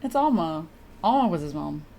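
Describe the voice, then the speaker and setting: A woman's voice: deep voice, very animated, normal speed, creaky, mellow, slight background noise. A woman streamer giving casual, reactive, sweary commentary while playing a game. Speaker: live streamer.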